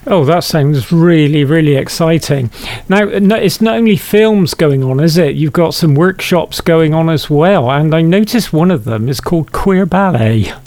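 A man's voice: on the high side, expressive, normal speed, a little mellow. A man hosting a chatty interview, putting questions to a guest. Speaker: show host, interviewer. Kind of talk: chatty radio-style interview.

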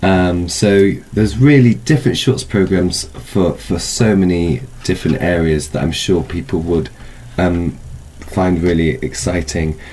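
A man with a deep voice talks as an interview guest presenting a programme. He explains things conversationally, searching for phrases and doubling back on words. There is some noise behind him. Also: a little slow, a little mellow, poor audio. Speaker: film festival organiser and interview guest.

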